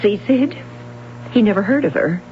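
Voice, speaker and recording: A woman's voice: medium pitch, animated, moderate pace, a little hiss or hum, natural voice. A woman playing an insistent, defensive middle-aged mother of a missing daughter in a 1970s radio drama, on a muffled old broadcast recording.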